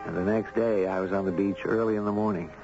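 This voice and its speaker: An actor playing an easygoing, puzzled dog owner who tells his story in a measured, conversational way in a 1970s radio drama. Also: lowish voice, steady tone, over loud music, close to an everyday voice. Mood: reflective. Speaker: actor narrating and playing the lead. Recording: dull, noisy old radio broadcast.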